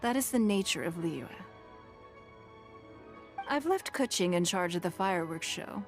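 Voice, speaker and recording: A woman playing a dignified, gracious noblewoman in a video game cutscene, speaking in a composed, formal, deliberate way. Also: on the low side, animated, faint music behind. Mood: explaining calmly. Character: poised, dignified noblewoman leader, gracious and measured.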